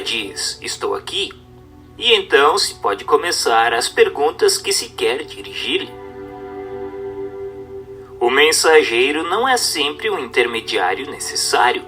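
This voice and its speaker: A man's voice: on the high side, sharp tone. A man reading a religious text as scripted narration, in a formal, instructive and carefully phrased manner.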